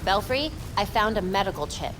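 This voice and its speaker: An actress playing a determined, quippy young vigilante heroine in a video game cutscene. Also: female voice, mid-range voice, expressive, fairly quick, background noise, lightly acted. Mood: focused.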